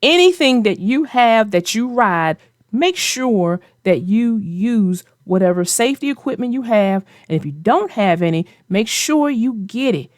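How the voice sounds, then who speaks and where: A woman's voice: fairly high pitch, lively intonation, a little slow, dry recording, studio quality. A woman host discussing a children's story and giving advice in an emphatic, conversational way. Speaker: host of a children's read-aloud show.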